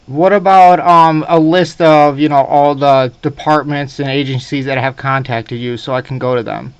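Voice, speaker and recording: A man with a fairly high, clean and smooth voice talks on a recorded phone call as a probationer. He is earnest and hesitant, feeling his way through sentences with frequent filler phrases. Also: fairly even intonation, moderate pace, no room sound. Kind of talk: phone conference conversation.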